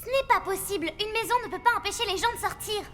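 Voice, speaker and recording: A woman voicing a sensible, sharp-tongued young schoolgirl in a cartoon, matter-of-fact and sarcastic. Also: extremely high pitch, quick pace, a little hiss or hum. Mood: unconvinced. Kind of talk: French animated series dubbing.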